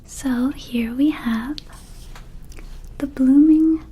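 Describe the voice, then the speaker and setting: A woman voicing a gentle vampire dispensary keeper in a whispered ASMR roleplay, soothing and unhurried, with childlike wording. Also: high voice, a little hiss or hum, low-quality recording. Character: gentle female vampire dispensary keeper offering magic herbs.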